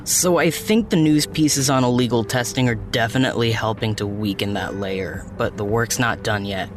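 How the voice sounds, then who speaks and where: A man's voice: mid-range voice, moderate pace, close to an everyday voice. An actor playing a blunt, frustrated man on the run who plans for his team in an audio drama. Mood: focused.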